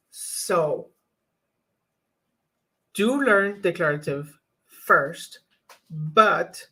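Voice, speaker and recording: A woman's voice: fairly low pitch, a bit bright. A woman whose first language is French, chatting casually in a vlog and giving candid, practical advice with frequent restarts. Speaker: YouTuber teaching cloud infrastructure.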